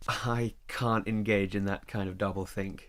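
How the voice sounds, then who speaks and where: An actor playing a reserved, evasive, work-absorbed boyfriend in a naturalistic audio drama, speaking in a terse, matter-of-fact, guarded way. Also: male voice, lowish voice, fairly even intonation, unhurried, fairly bright tone.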